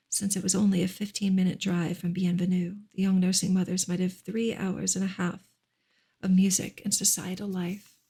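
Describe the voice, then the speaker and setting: Soft breathy woman reading in ASMR style. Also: mid-range voice. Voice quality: breathy, often slipping into whisper. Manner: gentle, hushed delivery. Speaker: narrator reading a novel aloud.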